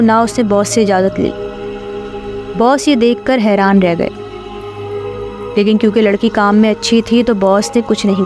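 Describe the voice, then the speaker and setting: A woman reading a scripted horror story as its narrator. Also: mid-range voice. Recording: clear music bed under voice.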